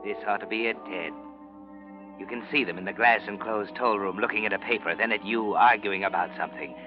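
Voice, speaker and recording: A man performs a menacing, insinuating narrator of a 1940s radio suspense play. His voice is fairly low and dramatic, goading the listener. Music plays clearly behind him on a dull, aged recording. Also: a little fast, natural voice. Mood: urgent, goading. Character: ominous, taunting narrator addressing the guilty man. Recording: muffled old radio recording.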